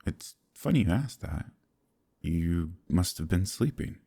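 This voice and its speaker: An extremely deep, dark male voice full of gravelly fry , a man acting out a role. He is a haunted, courteous gentleman hiding that he is a werewolf. His soft-spoken, halting, old-fashioned phrasing suits an intimate ASMR audio drama. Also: lively intonation, full character voice. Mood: nervous, evasive. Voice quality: gravelly, husky, breathy at times. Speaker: voice actor in an audio roleplay. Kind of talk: dramatic ASMR roleplay monologue.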